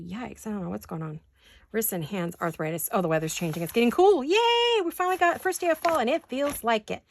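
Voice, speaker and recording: A woman's voice: on the low side, gravelly, slight background noise. A woman hosting a casual craft-along, rambling and thinking aloud with folksy, playful exclamations. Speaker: craft vlog host. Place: home craft studio.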